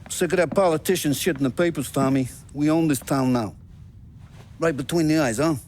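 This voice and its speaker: An actor playing a streetwise American mob gunsmith in a game cutscene, speaking in blunt, clipped slang. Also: male voice, a little high, a little fast, darkish tone, slight background noise, natural voice. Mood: scornful. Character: streetwise mob gunsmith, gruffly confiding man. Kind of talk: video game cutscene acting.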